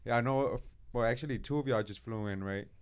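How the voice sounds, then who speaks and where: A man's voice: medium pitch, fast, clean tone. A man hosting a casual podcast interview, asking questions in a loose, slangy way.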